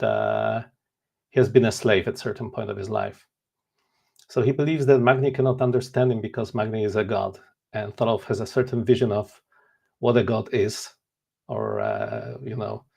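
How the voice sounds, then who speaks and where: A man in his forties from Poland, whose first language is Polish, speaks with a gravelly, creaky edge at a middling pitch. He talks earnestly and openly as a guest author in a casual interview. He is candid and a little self-deprecating. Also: darkish tone. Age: 40s.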